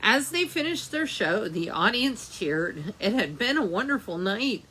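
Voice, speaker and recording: A woman reading a picture book aloud to young children as a library storytime host, with dramatic, drawn-out phrasing and chatty asides. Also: medium pitch, sing-song, bright, forceful tone, slightly rough, low-quality recording.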